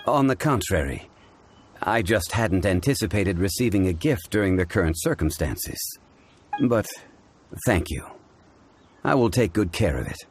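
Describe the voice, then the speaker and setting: An actor playing a grave, learned gentleman in a video game, speaking in a formal, deliberate way. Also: low-pitched, big pitch swings, a little hiss or hum. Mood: polite. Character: composed, learned ancient gentleman, grave and measured. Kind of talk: video game character dialogue. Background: music.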